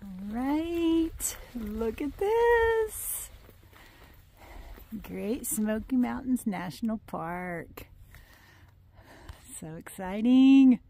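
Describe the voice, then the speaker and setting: Slow-talking older woman hiking outdoors. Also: a little high, gravelly. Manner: cheerful, chatty, excitable. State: a little out of breath from hiking. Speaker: hiking vlogger. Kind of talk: casual vlog narration. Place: outdoors on a dam and mountain trail. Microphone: phone camera at arm's length. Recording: faint outdoor noise.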